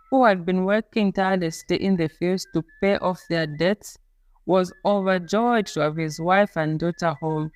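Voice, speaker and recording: A woman reading a scripted folktale as a storyteller, in a measured, dramatic storybook delivery. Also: fairly low pitch, darkish tone, no room sound. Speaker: storyteller, narrator. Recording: music playing under the voice. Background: background music.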